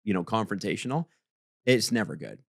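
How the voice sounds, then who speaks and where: A man with a booming voice co-hosting a casual podcast, animated and jokey, often jumping high in pitch when he teases. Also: a little fast, gravelly, no room sound, clean studio sound. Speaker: podcast co-host. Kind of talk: casual chat.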